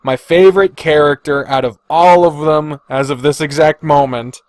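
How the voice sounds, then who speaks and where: A man's voice: a little high, steady tone, moderate pace, a little mellow, no echo. A man hosting a casual podcast on his own, thinking aloud in a hesitant, deliberating way and swearing freely. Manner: hesitant and deliberating, sighing, profane. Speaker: podcast host.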